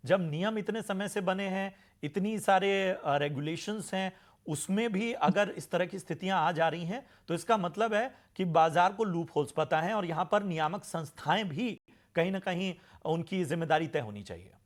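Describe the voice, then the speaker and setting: A man's voice: sits high, normal speed. A man hosting and moderating a television news panel discussion. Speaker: TV news show host.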